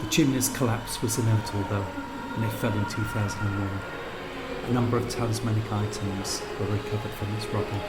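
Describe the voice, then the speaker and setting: Male storyteller, lower register, deliberate literary delivery with pauses, set over a clear music bed. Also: steady tone. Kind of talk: scripted literary narration. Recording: music under the voice.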